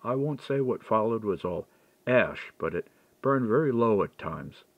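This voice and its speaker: A man's voice: lowish voice, animated, unhurried, no echo. A man reading audiobook dialogue as a seasoned, frank and reflective man-at-arms. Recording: dull, lo-fi dry recording.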